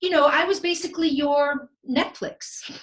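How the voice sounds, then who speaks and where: A woman playing a vain, playful Renaissance genius in a theatrical comic monologue, with florid delivery, dramatic pauses and sprinkled Italian words. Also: sits high, steady tone, a bit bright. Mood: playful, courtly. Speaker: stage actor.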